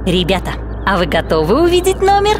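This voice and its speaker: A woman narrating a children's cartoon as host, explaining things in an animated storytelling way. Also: mid-range voice, fairly quick, music in the background.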